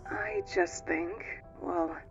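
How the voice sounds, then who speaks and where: A woman playing a timid, gentle young ship's nurse, hesitant and soft-spoken, in a fan-dubbed space game. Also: lowish voice, wildly swooping pitch, very slow, gravelly, highs cut off, full character voice. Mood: anxious. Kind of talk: dramatic fan dub of a video game. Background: music.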